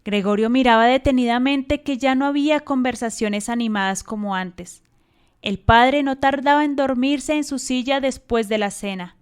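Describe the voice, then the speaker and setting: A woman's voice: high-pitched, normal speed, clear, resonant tone. A woman narrating a radio drama in a scripted storytelling style.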